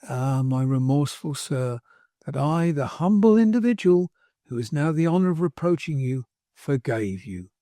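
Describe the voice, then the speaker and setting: A man voicing a pompous, self-righteous older gentleman who speaks in a plaintive, injured tone in a storybook reading. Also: a little high, no echo, clean studio sound.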